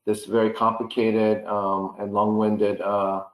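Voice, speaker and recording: A man organizing a program, wrapping up a video call in a halting way with many fillers. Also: lowish voice, little pitch movement, echoey room, rough recording.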